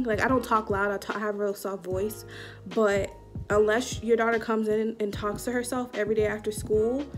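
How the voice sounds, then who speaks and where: A young woman telling a story to camera in a casual vlog, animated and slangy, acting out what people said, recorded in a small room on a camera at arm's length. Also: mid-range voice. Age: young adult. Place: small indoor room before a curtain. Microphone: webcam or phone at arm's length.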